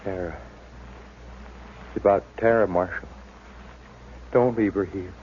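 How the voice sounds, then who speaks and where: An actor playing a dying old frontier father in a radio western, speaking haltingly in a weak, failing voice. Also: low voice. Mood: weak, pleading. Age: older. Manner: halting, labored, rustic speech. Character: dying, weakened old frontier father, plain-spoken rancher. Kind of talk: 1950s radio western acting. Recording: muffled, noisy old radio recording.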